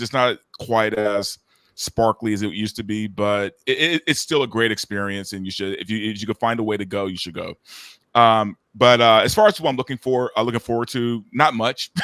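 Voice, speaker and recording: A middle-aged man chatting casually as a panel guest in an informal, halting way. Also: lowish voice, bright, forceful tone, no room sound. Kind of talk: casual chat.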